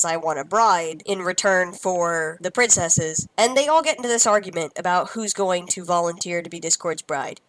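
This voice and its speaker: A woman's voice: lowish voice, fairly bright tone, dry recording, poor audio. A woman hosting a review, giving conversational, critical commentary. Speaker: reviewer and host. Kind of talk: semi-scripted review commentary.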